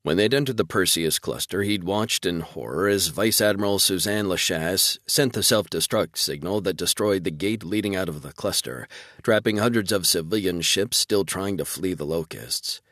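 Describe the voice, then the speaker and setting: A man reading scripted fiction narration in a low voice. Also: moderate pace, a bit bright, dry recording, studio quality. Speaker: audiobook narrator.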